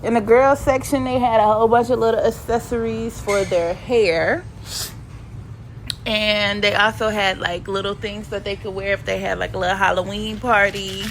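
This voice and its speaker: A woman's voice: mid-range voice, steady tone, moderate pace, a little hiss or hum, rough recording. A woman with a stuffy, sniffly cold, chatting playfully in slang as she narrates a shopping vlog from home. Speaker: vlogger. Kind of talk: casual shopping vlog voiceover. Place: home, recorded voiceover.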